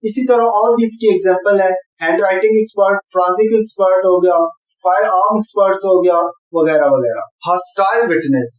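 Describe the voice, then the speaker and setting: A man with a Pakistani accent giving a methodical, explanatory law lecture. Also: high-pitched. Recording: roomy echo, distant from mic.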